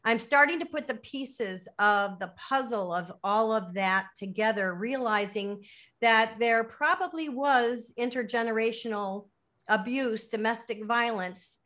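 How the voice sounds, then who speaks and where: A woman in her late fifties, an interview guest, talking casually with frequent pauses and ums. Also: on the high side, fairly bright tone. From United States. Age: 50s. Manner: hesitant, many ums.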